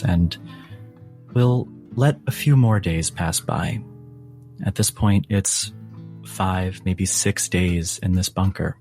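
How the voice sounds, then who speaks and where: A man narrating a tabletop role-playing game as game master, in a measured way with dramatic pauses. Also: low-pitched, darkish tone. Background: faint music.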